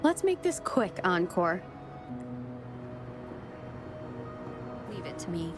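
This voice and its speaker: A woman's voice: on the low side, sing-song, normal speed, music in the background. A woman playing a composed, capable young adventurer in an anime-style video game. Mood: determined.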